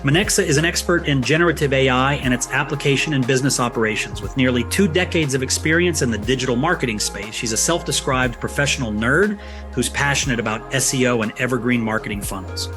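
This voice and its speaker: A man's voice: medium pitch, fast talker, music in the background. A man hosting a podcast, reading an upbeat intro and then chatting with a guest, often affirming with short yeahs. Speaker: podcast host. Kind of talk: scripted intro, then casual interview chat.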